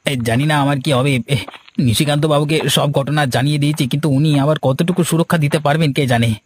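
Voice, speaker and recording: A man's voice: fairly high pitch, steady tone, fairly quick, slight background noise, close to an everyday voice. A man voicing a frightened, guilt-ridden villager in a horror cartoon, stammering and whining as he pleads. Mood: anxious remorse. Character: panicky guilt-ridden village man begging for his life. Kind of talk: Bengali horror cartoon dubbing. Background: music.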